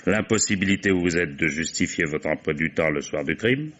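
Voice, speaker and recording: An actor playing a shrewd, mock-courteous, methodical German police commissioner interrogating suspects in a vintage French radio mystery. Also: male voice, low-pitched, fairly quick, a bit bright. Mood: ironic, accusing. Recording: dull old radio-play recording.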